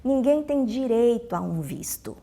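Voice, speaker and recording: A woman's voice: on the high side, sing-song, a little slow, gravelly, mellow. A woman with a Brazilian Portuguese accent explaining rules emphatically as a consular official, in informal interview answers with acted-out anecdotes. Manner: emphatic, repeats key points, acts out dialogues.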